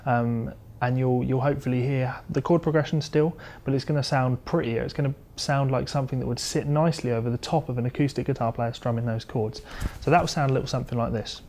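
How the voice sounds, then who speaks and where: A man's voice: medium pitch, fairly even intonation, fast talker, few pauses, darkish tone. A man giving an informal, conversational guitar lesson, explaining things as a teacher would to a student. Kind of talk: informal instructional talk with demonstrations.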